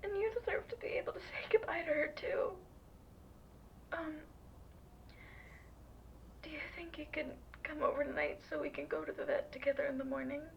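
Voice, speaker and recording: A woman playing a grieving, lonely ex-partner who pleads softly and tearfully in an ASMR roleplay. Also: sits high, fairly even intonation, moderate pace, pauses often, a little hiss or hum. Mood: sad, hesitant. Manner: soft, breathy, tearful pleading. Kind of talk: intimate ASMR roleplay acting. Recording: muffled, distant-sounding audio.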